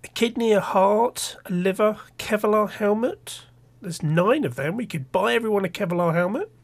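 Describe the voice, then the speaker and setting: A man's voice: sits high, moderate pace, gravelly. Stuffy-nosed man narrating his gameplay. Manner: thinking aloud, rambling with restarts. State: stuffy nose from a cold. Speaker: let's play host. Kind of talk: casual gameplay commentary.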